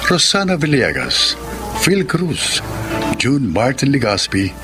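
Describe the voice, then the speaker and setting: A man hosting a radio drama with formal, measured, scripted narration. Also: mid-range voice. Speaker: host and announcer.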